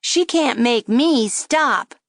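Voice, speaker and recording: A woman voicing a rude, brash male ventriloquist's dummy who jeers loudly at everyone around him. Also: a little high, animated, slow, vocal fry, no echo, clean studio sound, pitched above the performer's own voice, heavily acted. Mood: taunting. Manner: loud, taunting, jeering.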